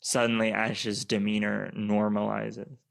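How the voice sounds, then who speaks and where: A man's voice: lowish voice, completely flat delivery, a little slow, gravelly. A man reading scripted stage action as narrator of a radio play.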